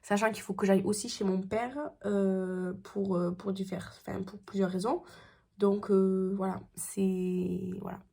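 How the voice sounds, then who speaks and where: A young woman talks to camera at home with a blocked, stuffy nose from a cold. She rambles in a casual, chatty way, full of pauses and hesitations, in an ordinary middle-pitched voice. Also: little pitch movement, gravelly, mellow. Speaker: beauty vlogger. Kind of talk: casual vlog chat.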